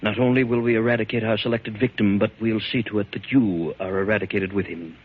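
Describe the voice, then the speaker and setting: An actor playing the cold, menacing leader of a secret committee in a 1940s radio drama, formal and measured. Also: male voice, fairly low pitch, fairly even intonation, slightly rough. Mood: cold menace. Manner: formal, measured, coldly commanding. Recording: dull lo-fi 1940s radio recording.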